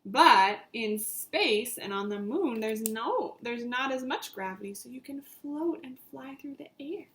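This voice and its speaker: A woman with a high-pitched voice reads a children's story aloud like a teacher. She takes it very slowly, with animated, dramatic emphasis, and speaks straight to her young listeners. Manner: animated, addresses listeners directly as friends.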